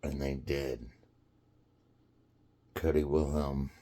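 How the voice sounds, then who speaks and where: Man, 50s, very low voice, slow, rambling casual storyteller who keeps breaking into laughs. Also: level tone, dark tone. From United States. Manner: rambling, halting, quick to laugh.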